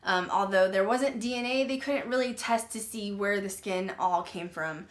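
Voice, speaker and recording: A woman hosting a paranormal podcast, retelling a grim case in casual, rambling talk full of "like" and "um", often clearing her throat as she says she is losing her voice. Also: medium pitch, moderate pace. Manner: rambling, filler-heavy, with many "like" and "um"; uneasy and apologetic about the grim subject. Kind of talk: casual true-crime retelling to viewers.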